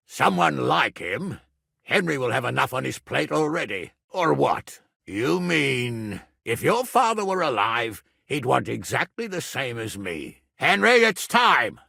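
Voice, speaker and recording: A man playing a middle-aged medieval lord and guardian in a video game, blunt, commanding and earthy, with a gravelly, raspy voice. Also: fairly high pitch, sharp tone, no echo, studio quality, heavily acted. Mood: earnest, firm. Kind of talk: dramatic video game dialogue.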